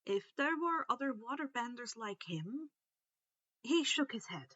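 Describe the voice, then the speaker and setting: A woman reading a story aloud as an animated narrator, in storybook style. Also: fairly high pitch, a little slow, no echo, lo-fi sound. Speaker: fan fiction narrator.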